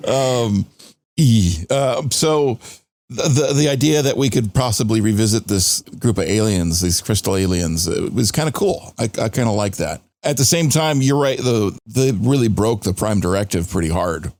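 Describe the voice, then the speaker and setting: A man's voice: fairly low pitch, lively intonation, normal speed, darkish tone, no room sound. Male podcast co-host, rambling and informal, swears freely, dry close recording. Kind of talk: casual fan discussion of a TV episode.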